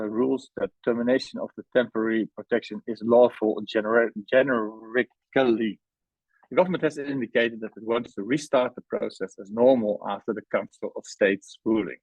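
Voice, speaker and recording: Husky man presenting, dull webinar sound. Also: on the low side, a little mellow, dry recording. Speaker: housing organisation representative presenting at a webinar. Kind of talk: formal prepared presentation, read aloud. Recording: dull, band-limited webinar audio.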